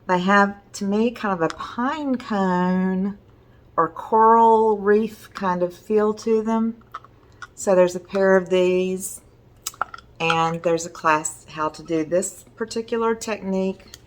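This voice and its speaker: A middle-aged woman with a hoarse voice, chatting casually as a resin art teacher while showing her work at home. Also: mid-range voice, slow pace. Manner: chatty, enthusiastic, meandering. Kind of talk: casual video talk showing artwork.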